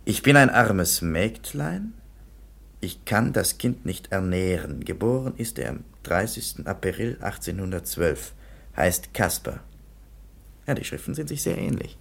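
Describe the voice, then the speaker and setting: An actor playing a matter-of-fact police commissioner in a radio play, speaking in a deliberate, pedantic manner. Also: male voice, low-pitched, sing-song, creaky, heavily acted. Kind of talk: German radio play acting.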